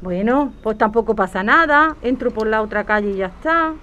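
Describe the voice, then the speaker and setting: A woman's voice: on the high side, expressive, normal speed, a bit bright, highs cut off. A woman playing an affectionate, lonely older mother in a naturalistic audio drama. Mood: wistful, lonely.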